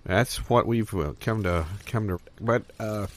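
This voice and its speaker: A man playing a folksy, nosy, excitable county fair local in an improvised comedy sketch, with a rambling, drawling way of talking. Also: on the low side, unhurried, natural voice. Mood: curious, incredulous.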